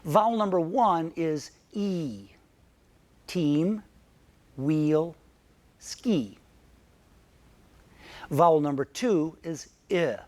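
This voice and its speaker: A man from Michigan with a Midwestern American accent, narrating a scripted pronunciation lesson and saying example words slowly and clearly. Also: a little high, lively intonation, darkish tone. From Michigan, United States. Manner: slow, careful, clearly enunciated word examples. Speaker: narrator and English pronunciation teacher.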